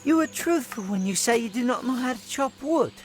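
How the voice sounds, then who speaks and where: An actor playing a kind, diligent village boy in a historical audio drama, gentle and encouraging. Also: medium pitch, animated, fairly quick, music in the background, natural voice. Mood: patient, helpful.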